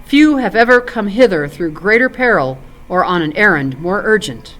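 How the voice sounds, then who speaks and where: A solemn elf lord of a storybook, read by a woman addresses listeners formally. The delivery is stately, with broad, expressive intonation and noble gravity. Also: medium pitch, normal speed, lightly acted, rough recording.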